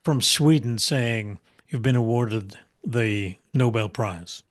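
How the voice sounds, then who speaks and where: A man's voice: mid-range voice, slow. A man hosting an interview podcast, asking questions in a conversational style. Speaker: podcast host.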